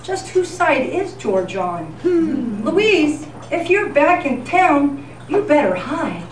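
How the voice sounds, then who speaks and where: A woman's voice: high voice, big pitch swings, slow pace, crowd noise. A woman co-hosting a live show, reading tongue-in-cheek scripted news in an old-time radio style. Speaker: co-host of a live radio-themed tribute show. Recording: distant echoey live event, noisy.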